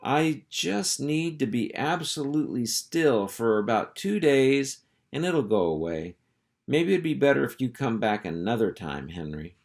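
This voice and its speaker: Here is a genteel, long-suffering mother dog from a children's storybook, acted out by a man. She speaks in a raised, slightly gravelly voice with lively swoops. Her manner is fussy, sighing and excessively polite. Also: medium pitch, unhurried, voice pushed up. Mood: pained, faint.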